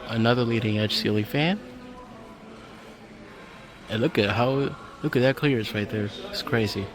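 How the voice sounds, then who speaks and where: A man's voice: mid-range voice, moderate pace. A man giving casual, off-the-cuff commentary while filming a walk through a big hardware store. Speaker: vlogger. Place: inside a large hardware store. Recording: store music in the background. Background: store music playing.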